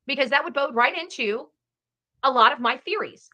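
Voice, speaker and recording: A woman's voice: high-pitched, animated, normal speed, pushed and bright, highs cut off. A woman talking as an online true crime commentator in a livestream style, emphatic and given to repeating her phrases. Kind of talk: livestream case commentary and casual chat.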